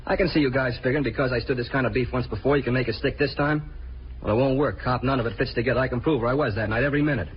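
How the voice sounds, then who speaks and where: A male actor plays a defiant American murder suspect in an old radio police drama. He protests combatively in rapid street slang at a middling pitch. The recording is dull and vintage, with faint noise behind him. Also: lightly acted. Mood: cocky, protesting. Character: defiant, cornered murder suspect, tough talking. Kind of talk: 1950s radio crime drama acting.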